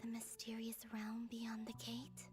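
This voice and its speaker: A woman playing a weary, wary but resolute heroine in a video game, speaking in a hushed, breathy voice. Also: a little high, completely flat delivery, moderate pace, over music, natural voice. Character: weary, wary, resolute woman stranded alone in a strange realm. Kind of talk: game voice acting, inner monologue.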